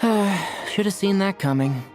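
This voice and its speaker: A man's voice: high voice, slow pace, faint music behind. A man voicing a young, sensible forest ranger doctor who speaks in a dry, wry way in a game cutscene.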